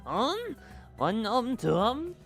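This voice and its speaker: A man voicing a folksy, gleeful gnome henchman from a game in a halting, comically quaint manner. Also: very high-pitched, huge pitch swings, fairly bright tone, faint music behind, voice pushed up, exaggerated voice. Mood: halting, smug.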